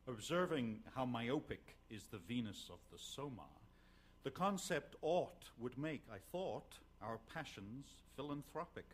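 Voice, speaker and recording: A man's voice: medium pitch, expressive, unhurried, breathy edge. A middle-aged man playing a rueful scholarly wise man in an articulate, intense verse reading. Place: concert stage in a radio performance hall. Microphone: close studio mic on boom.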